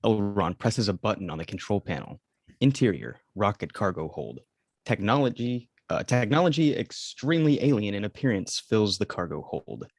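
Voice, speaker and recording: A man's voice: lowish voice, animated, moderate pace, no room sound. A man reading scripted stage directions as narrator at a table read.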